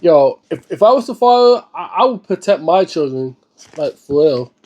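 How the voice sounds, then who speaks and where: High-pitched man reading aloud, stumbling over words. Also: big pitch swings, a little mellow, lo-fi sound. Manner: halting, stumbling, repeating words and phrases; slangy, informal asides. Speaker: host of a read-aloud book series. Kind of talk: dramatic book reading with informal spoken commentary.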